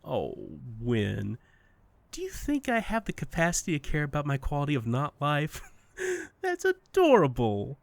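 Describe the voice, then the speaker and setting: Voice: medium pitch, huge pitch swings, a little slow, creaky, no echo, full character voice. An actor playing a smug, playful, unreal best friend in an audio drama, teasing and condescending in a sing-song way. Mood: amused, patronizing. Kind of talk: audio fiction podcast acting.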